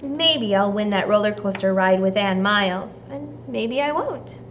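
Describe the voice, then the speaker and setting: A woman from the United States reading a storybook aloud and giving children simple, deliberate instructions like a teacher. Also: medium pitch, animated, a little hiss or hum. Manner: deliberate, simple phrasing addressed to young children.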